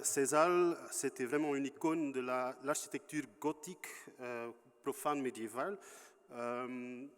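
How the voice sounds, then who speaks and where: Halting Flemish-accented man lecturing. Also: mid-range voice, big-room sound. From Belgium. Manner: halting, searching for words, many fillers. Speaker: conference speaker. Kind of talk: academic lecture with slides.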